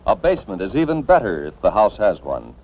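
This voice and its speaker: An American man reading scripted 1950s instructional film narration in a measured, formal, instructive manner. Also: sits low, huge pitch swings. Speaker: narrator of a civil defense educational film. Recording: dull, band-limited 1950s film soundtrack.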